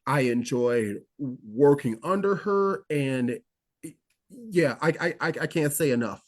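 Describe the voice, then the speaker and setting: Brief: male actor interviewee, mid-pitch, eager storyteller, lots of restarts. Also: lively intonation, unhurried. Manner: enthusiastic, rambling with restarts and fillers. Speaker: actor guest. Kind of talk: interview recollection.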